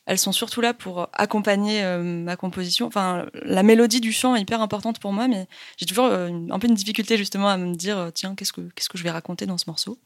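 A woman's voice: medium pitch, fast, no echo, studio quality. A woman singer-songwriter answering interview questions in a hesitant, chatty way, with many fillers and frequent laughs. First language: Spanish.

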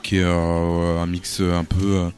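A man's voice: low-pitched, monotone, a little slow. A man, a musician guest, chatting casually in a radio interview in a laid-back, hesitant, slangy way. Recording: faint music behind the voice. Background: music playing quietly.

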